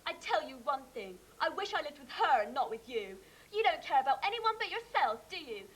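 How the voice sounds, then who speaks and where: A young actress playing a bold, hurt daughter in a wheelchair who confronts her father in sharp, reproachful words. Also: high-pitched, big pitch swings, fairly quick, rough recording. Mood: defiant. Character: defiant young girl in a wheelchair.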